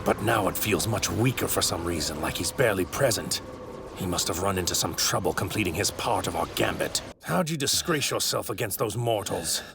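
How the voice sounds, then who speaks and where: An actor playing an arrogant, wrathful god in a stolen warrior's body, with haughty, theatrical, grandiose delivery in an anime dub. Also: male voice, deep voice, animated, brisk, over music. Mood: puzzled disdain. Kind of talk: anime dub villain acting. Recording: clearly noisy audio ripped from anime.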